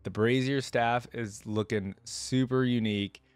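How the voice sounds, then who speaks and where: A man's voice: medium pitch, fairly even intonation, measured pace, smooth, no room sound. A man giving casual, off-the-cuff reaction commentary as a video host, talking in an enthusiastic, informal, slangy way.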